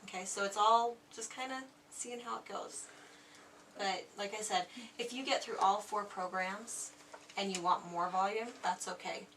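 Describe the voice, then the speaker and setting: A woman's voice: medium pitch, steady tone, moderate pace, fairly bright tone, recorded from a distance, slight background noise, poor audio. A woman audiologist patiently explaining device settings to a patient, checking often that she understands. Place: clinic room.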